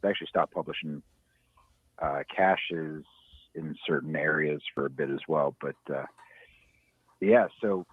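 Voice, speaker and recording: A low, husky man calls in on a thin, phone-like line. His voice sits very deep, with a dry, rough edge. He rambles in a casual way and often restarts his sentences. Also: no room sound. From Ohio. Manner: rambling, hesitant with many restarts. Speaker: caller.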